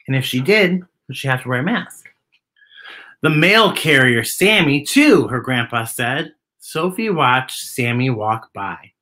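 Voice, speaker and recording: A middle-aged man presents a story for small children with theatrical flair. His voice is bright and forward, and it swings widely up and down. He plays up his phrases and talks straight to the young listeners. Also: fairly high pitch. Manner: theatrical, playful, exaggerated delivery for children. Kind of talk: children's read-aloud with chatty asides. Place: living room, indoors.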